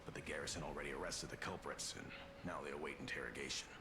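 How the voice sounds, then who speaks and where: A man playing a dutiful, gruff city guard in a video game, delivering a clipped, formal military report. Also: extremely low pitch, steady tone, fast, over music. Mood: businesslike, grave. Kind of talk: dramatic video game voice acting.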